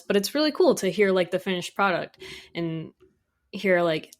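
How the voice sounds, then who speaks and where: A young adult woman speaking as a podcast guest in informal, casual interview chat, peppered with conversational filler words. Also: lowish voice. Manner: informal, frequent "like" and "you know".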